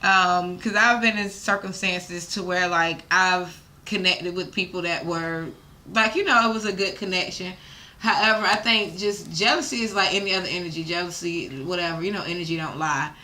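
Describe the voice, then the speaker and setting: A woman's voice: lowish voice, steady tone, moderate pace, sharp tone. A young American woman talking candidly as an interview guest, informal and emphatic with slangy, salty language. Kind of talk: casual candid interview talk.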